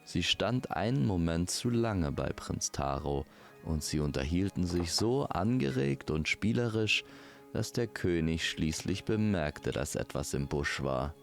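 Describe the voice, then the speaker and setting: A man's voice: sits low, expressive, measured pace, vocal fry, soft background music. A man narrating a scripted fairy-tale audio drama as its storyteller.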